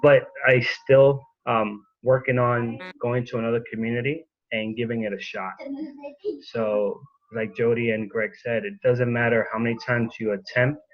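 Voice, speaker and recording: A man who coaches fitness, sharing casually on a video call, halting with many ums and uhs. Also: medium pitch, level tone. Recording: dull lo-fi call audio.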